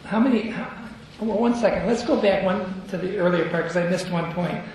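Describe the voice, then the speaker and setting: A man's voice: high voice, a little fast, darkish tone, a little hiss or hum. A man leading a group Bible study at a convention, asking the group questions and chuckling often, recorded far off in an echoey room.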